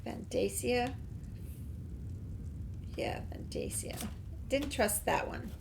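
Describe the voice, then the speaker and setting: A woman's voice: on the high side, expressive, takes it slowly, gravelly, noisy background. A woman hosting a casual game playthrough, musing aloud as she goes. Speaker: gaming host.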